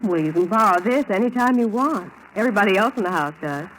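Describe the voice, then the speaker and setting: A woman's voice: mid-range voice, animated, fast, fairly bright tone, natural voice. An actress playing a chatty female boarding-house lodger in 1940s radio style, on a very noisy old recording. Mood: flustered, obliging.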